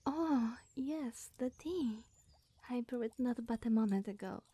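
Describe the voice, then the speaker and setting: A woman playing a flustered, eccentric young witch groundskeeper in an ASMR roleplay, rambling and awkwardly apologetic in a soft, breathy voice. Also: a little high, unhurried, no room sound. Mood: rambling, hospitable.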